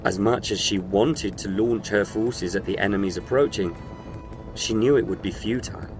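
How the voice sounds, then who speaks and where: A man's voice: on the low side, moderate pace, music in the background. A man reading scripted narration for a short audio story.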